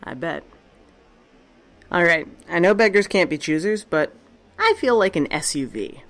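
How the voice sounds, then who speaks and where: A woman's voice: on the low side, lively intonation, unhurried, fairly bright tone. A woman playing Tessa, a tough, sarcastic and profane survivor, in a fan audio drama. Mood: wry.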